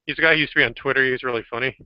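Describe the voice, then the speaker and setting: A man's voice: mid-range voice, steady tone, rapid-fire, smooth, no echo. A man talking casually as a participant in a group online hangout, over lo-fi call audio. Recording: dull lo-fi internet call audio.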